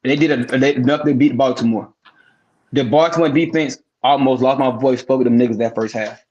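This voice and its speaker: A man's voice: mid-range voice, fairly even intonation, fairly quick, darkish tone, muffled recording. A man co-hosting a casual sports podcast, breaking games down in a profane, emphatic style and repeating words for effect. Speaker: podcast co-host.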